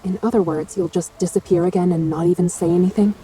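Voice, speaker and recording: A woman's voice: lowish voice, steady tone, fast, mellow, a little hiss or hum, close to an everyday voice, low-quality recording. An actress playing a defiant, independent young woman in a dramatic video game scene, guarded and challenging in manner. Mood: guarded, resentful.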